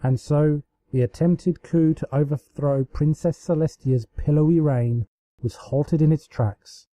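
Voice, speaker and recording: A man's voice: medium pitch, mellow, dry recording. A man reading fan fiction aloud as a dramatic storybook narration. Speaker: amateur fan fiction reader.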